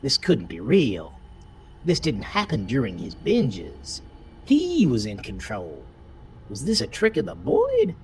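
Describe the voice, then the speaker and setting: A man's voice: mid-range voice, huge pitch swings, slow, slight background noise. A man narrating a horror story in a theatrical, suspenseful manner. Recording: music under the voice throughout. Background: prominent music bed.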